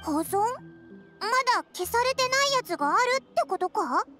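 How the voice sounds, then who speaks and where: A woman's voice: very high-pitched, very animated, normal speed. A woman voicing a small, cheeky, childlike fairy companion in a game dub, with blunt, boyish casual speech. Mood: curious, doubtful. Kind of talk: Japanese game dub dialogue. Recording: music behind voice.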